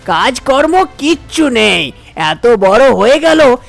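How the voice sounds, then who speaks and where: A woman voicing an older father in a cartoon, scolding his lazy sons slowly and loudly. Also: a little high, very animated, gravelly, soft background music, exaggerated voice. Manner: slow, scolding.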